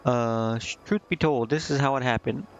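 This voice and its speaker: A man's voice: mid-range voice, normal speed, highs cut off, natural voice. A man reading the lines of a brash, shrewd, one-eyed tiefling merchant from a fantasy game, with occasional stumbles. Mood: matter-of-fact, reminiscing. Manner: plain reading of scripted dialogue with occasional stumbles.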